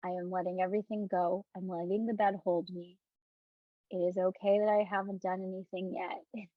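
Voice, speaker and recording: A woman's voice: fairly low pitch, flat delivery, dry recording, narrow, tinny audio. A woman leading a live online self-love talk, soothing and repetitive at times, chatty and loose at others. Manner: soft, reassuring, repeats phrases slowly for emphasis; loose, chatty with many "like" and restarts. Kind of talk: guided talk with breathing cues and viewer questions.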